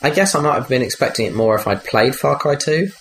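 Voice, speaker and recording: A man's voice: medium pitch, expressive, fast, a bit bright. A man chatting casually about video games as a podcast host, rambling and hesitant with frequent swearing. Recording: clearly noisy background.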